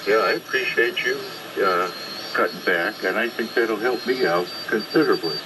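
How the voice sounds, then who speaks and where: A man's voice: fairly low pitch, normal speed. A man talking hesitantly and politely in an unscripted exchange as an amateur radio operator on shortwave. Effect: shortwave single-sideband radio transmission. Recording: heavy noise over ham radio.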